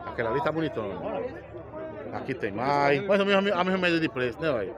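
A man's voice: on the high side, sing-song, quick pace. Northeastern Brazilian man vlogging outdoors, crowd behind. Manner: repeats prices back, addresses viewers. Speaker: vlog host touring a livestock market. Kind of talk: casual on-the-spot vlog chat. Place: outdoor, crowded livestock market. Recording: busy outdoor crowd noise.

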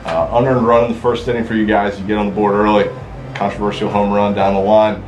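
A man moderates a post-game press conference, firing off questions at a quick clip. His low voice stays flat and level. The room gives him a roomy echo, with faint music underneath.

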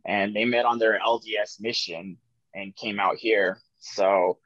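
Soft-spoken young man, lo-fi dry call audio. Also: lowish voice, steady tone, a little slow, no echo. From New Mexico, USA. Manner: soft-spoken, reflective, frequent "like" and "um" fillers. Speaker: guest sharing his recovery story. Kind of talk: candid personal storytelling on a podcast. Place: office room with ceiling lights. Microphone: video call webcam.

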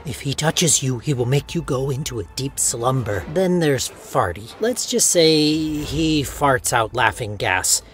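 A man's voice: mid-range voice, animated, measured pace, few pauses, fairly bright tone, faint music behind. A man voicing a guide character in a game story cutscene, earnest and urgent.

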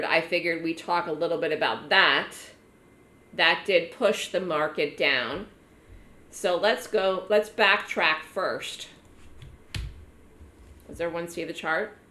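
A hoarse woman giving a live online trading lecture to her trading room, chatty and repeating words for emphasis. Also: lowish voice, bright, forceful tone, echoey room. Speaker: trading educator running a trading room.

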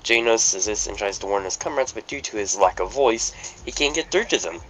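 A man's voice: on the low side, lively intonation, a little fast, poor audio. A man narrating the stage directions of a casual fan table read over voice chat. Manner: plain read-aloud narration, joking between lines.

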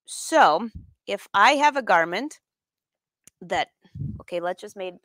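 A woman's voice: mid-range voice, expressive, takes it slowly, a bit bright, no room sound. A middle-aged woman hosting a casual live craft demonstration, chatting informally and putting questions to her viewers. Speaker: host of a live sewing lesson. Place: sewing studio room, indoors.

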